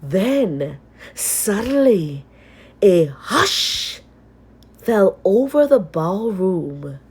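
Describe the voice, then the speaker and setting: A woman reading a children's story aloud as scripted narration, expressive and with dramatic pauses. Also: medium pitch, husky.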